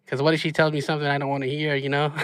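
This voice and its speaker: A man's voice: on the high side, quick pace, no room sound. A man hosting a casual podcast chat, laid-back and laughing often, with lots of fillers. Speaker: podcast host.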